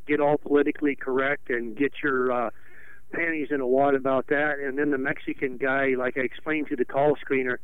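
Male caller on a tinny phone line, rambling and indignant, smooth voice. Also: mid-range voice, level tone, brisk, dry recording. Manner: rambling, indignant, many restarts. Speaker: radio caller. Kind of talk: call-in radio complaint.

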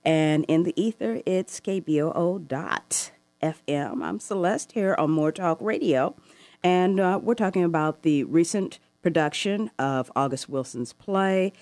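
A woman hosting a call-in radio talk show, asking long, thoughtful questions with soft laughs. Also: on the low side, no echo. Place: radio studio.

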